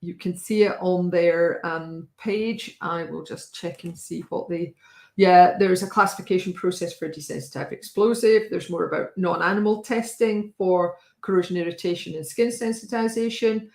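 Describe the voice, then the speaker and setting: A woman hosting a casual talk with a wry, chatty manner and a slightly hoarse voice, often pausing with fillers. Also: lowish voice, a little mellow, roomy sound. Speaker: host. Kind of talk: casual live-stream talk.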